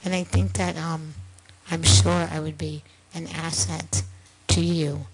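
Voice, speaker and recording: Hoarse, low-voiced woman speaking at a meeting. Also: flat delivery, slow, over music, low-quality recording. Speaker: applicant for a town commission. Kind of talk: public statement at a board meeting. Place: town board meeting room.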